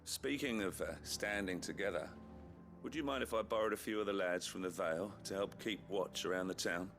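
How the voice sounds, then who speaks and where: An earnest, loyal army captain in a fantasy video game. His voice is very low, his manner plain-spoken, sincere and deferential. Music plays clearly behind him. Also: fairly quick. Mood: courteous, friendly. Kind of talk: scripted game dialogue.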